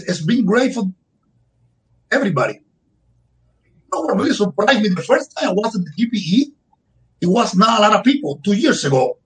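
Husky high-voiced Cuban Spanish-accented man. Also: low-quality recording. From Cuba. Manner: halting, repeats words while searching for English. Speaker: guest. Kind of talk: casual interview chat.

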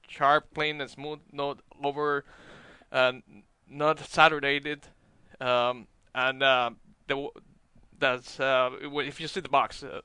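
Dominican-accented man, bright and dry. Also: mid-range voice, slow, smooth, no echo. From Dominican Republic. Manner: relaxed, hesitant, many fillers and restarts. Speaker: cigar maker guest. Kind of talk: casual radio interview.

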